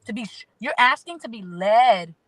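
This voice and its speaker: A woman's voice: on the high side, lively intonation, normal speed, fairly bright tone, no echo, poor audio. A woman appearing as an astrology guest, giving spiritual advice in an emphatic way that stacks phrases into lists.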